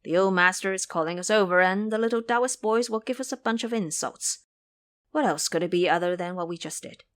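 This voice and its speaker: A woman voicing a worried, steady male monk disciple who frets quietly. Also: medium pitch, fairly quick, close to an everyday voice.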